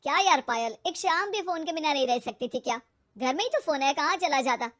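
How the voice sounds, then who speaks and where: Lady doing a young husband in a cartoon story, high voice, pleading, trying to keep everyone calm. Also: brisk. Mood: exasperated. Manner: pleading, reasoning. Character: placating young husband caught between wife and mother.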